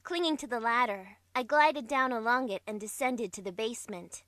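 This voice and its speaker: A woman's voice: fairly high pitch, moderate pace, fairly bright tone, no echo, close to an everyday voice. A woman playing a bewildered young heroine, narrating her own story in an amateur visual novel dub. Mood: introspective. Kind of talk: first-person visual novel narration, fandub.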